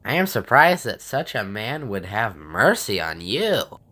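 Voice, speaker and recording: A man's voice: on the low side, sing-song, unhurried, bright, forceful tone, exaggerated voice. A man playing a kindly, earnest and welcoming governor in an audio drama.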